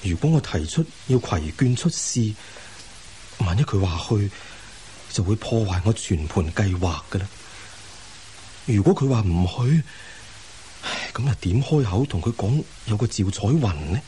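A man's voice: fairly low pitch, animated, unhurried, long pauses, dark tone. A man acting a scholarly middle-aged imperial envoy and placating husband in a Cantonese radio drama, with a Hong Kong accent, sighing and hesitant. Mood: hesitant, troubled. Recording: hissy radio drama rebroadcast.

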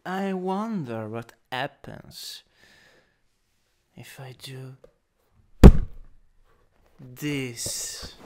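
A man with an Italian accent improvising ASMR as a teasing, domineering older stepbrother, in a halting, intimate, breathy voice that often drops to a whisper. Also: mid-range voice, noisy background. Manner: slow, intimate, halting delivery. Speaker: ASMR roleplay performer. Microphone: close USB microphone.

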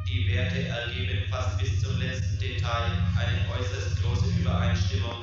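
A calm, all-knowing male spaceship computer played by a man explains things in measured, factual tones. The voice stays very flat, drenched in echo and set back from the mic, in radio play style. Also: mid-range voice, close to an everyday voice. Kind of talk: science fiction radio play acting. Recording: echoey, distant, dull old cassette with music.

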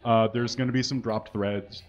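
Deadpan man doing a mock-earnest reading. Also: mid-range voice, moderate pace, muffled recording. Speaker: guest reader. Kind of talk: comic dramatic reading. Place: small stage with curtains and brick wall. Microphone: stand mic.

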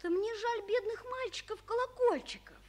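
A woman's voice: very high-pitched, moderate pace, darkish tone. A woman playing an earnest, compassionate, plucky small boy in a 1940s radio play.

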